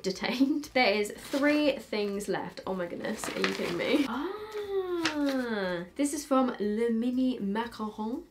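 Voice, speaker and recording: A young woman in her twenties with a British accent, chatting casually as she unboxes makeup at her desk, thinking aloud with quick asides. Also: medium pitch. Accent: British English. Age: 20s. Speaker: beauty vlogger. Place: bedroom filming setup, indoors.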